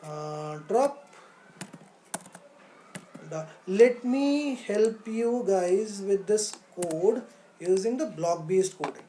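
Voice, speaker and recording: A woman gives an online coding lesson in a soft-edged, mellow voice. She explains step by step, often asking a question and then answering it. The recording has a noticeable room echo. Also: medium pitch, lively intonation, drawn-out words, pauses often, slight background noise. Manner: instructional, poses questions then answers them, checks with "right?". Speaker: coding teacher.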